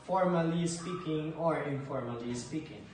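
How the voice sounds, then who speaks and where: A man in his thirties from Ecuador, a teacher answering interview questions in a relaxed, hesitant way, often repeating words as he thinks. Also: fairly high pitch, normal speed. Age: 30s. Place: school library with bookshelves, indoors. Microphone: far camera across a desk. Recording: echoey room from a distance, faint noise.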